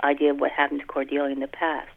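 Middle aged lady chatting on a podcast over the phone, lo-fi line, fry in her voice, keeps rephrasing. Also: sits low, monotone. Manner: halting, repeats and rephrases phrases. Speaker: author being interviewed. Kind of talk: casual podcast conversation. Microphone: telephone. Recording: muffled, tinny telephone line.

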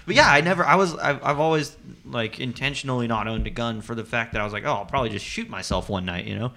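A man's voice: medium pitch, fast, bright, forceful tone. A man in casual podcast banter, candid and profane, joking darkly.

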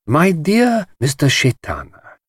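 Man acting older foreign gentleman sleuth, polite, self-satisfied, precise diction, animated melody, dry studio sound. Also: on the high side, slow, breathy edge, dry recording, voice pushed up, exaggerated voice. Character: courteous, vain older male foreign detective. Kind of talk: audiobook character voice.

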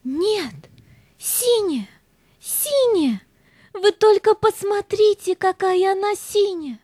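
A woman's voice: extremely high pitch, expressive, slow, dark tone, no echo, clean studio sound, exaggerated voice. A woman playing an eager, tender little girl in an audio fairy-tale play, wide-eyed and emphatic. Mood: eager, earnest.

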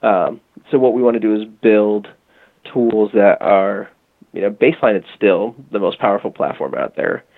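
A man with a low, gravelly voice speaks over a tinny, phone-like line. He talks casually in an interview, explaining at length and often restarting with fillers. The sound is dry. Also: normal speed, no echo. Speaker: guest from a music marketing company.

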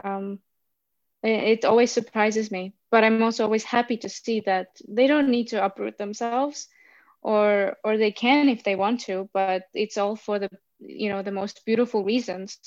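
A woman's voice: medium pitch, steady tone, moderate pace, a little mellow, no echo, highs cut off. A woman coach answering interview questions in a thoughtful way, often repeating words as she searches for them. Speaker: coach for expats.

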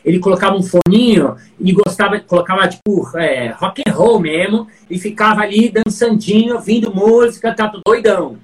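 A man's voice: sits high, a little fast, hardly any pauses, low-quality recording. A middle-aged man who coaches public speaking, talking casually in an interview and telling stories with lots of fillers and restarts. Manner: storytelling, many fillers and restarts, animated examples.

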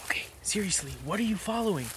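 A man playing a chatty, inquisitive and slightly uneasy hiking companion in an audio drama, with an expressive, questioning delivery. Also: high-pitched, fast, a little hiss or hum. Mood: skeptical. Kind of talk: naturalistic audio drama dialogue.

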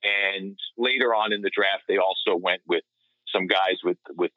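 A man with a low voice gives sports analysis as a podcast panelist. He explains things conversationally, wandering into asides and back. He comes over a dry but slightly muffled, lo-fi remote line. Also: fairly even intonation, normal speed, dry recording. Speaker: hockey radio play-by-play announcer, podcast panelist. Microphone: remote call. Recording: dull lo-fi call-in line.

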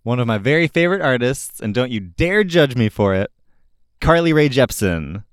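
A man's voice: mid-range voice, very animated, normal speed, clean tone, no room sound. Male host for casual album-review talk. Informal, opinionated, keen; clean resonant voice, dry recording. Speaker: host of a music review show.

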